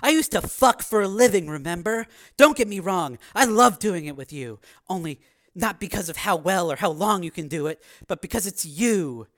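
Man playing a blunt, high-pitched tough young woman. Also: a bit bright. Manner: blunt, earnest, street-tough. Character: tough, devoted, outspoken young woman lover. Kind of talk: dramatized audiobook dialogue.

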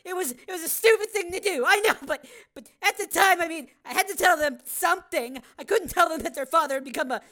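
A frantic, estranged mother stumbling over her words, close to tears and quick to turn defensive, as a woman acts her out. Her voice sits high and bright. Rendered as a dramatized audiobook. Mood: distraught. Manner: anxious, halting, tearful.

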